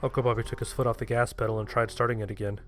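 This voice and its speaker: A man's voice: mid-range voice, level tone, rapid-fire. A man reading a scripted first-person horror story as its narrator.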